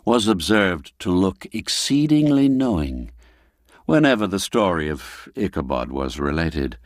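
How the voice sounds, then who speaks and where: Slow, expressive male storyteller. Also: low voice, no room sound, clean studio sound. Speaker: storyteller narrating a classic tale. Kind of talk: scripted literary narration, audiobook reading.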